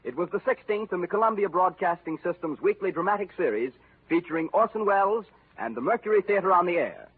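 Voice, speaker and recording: A man's voice: high voice, expressive, fast talker, husky. A man from the United States announcing formally from a script in polished 1930s radio style. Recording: tinny 1930s radio, faint music.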